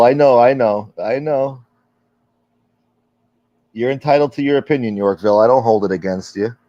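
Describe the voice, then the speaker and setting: A man's voice: mid-range voice, dry recording. A middle-aged man hosting a livestream, chatting casually and reading viewer comments, rambling and candid with frequent fillers and swearing. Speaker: livestream host. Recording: dull, lo-fi stream audio.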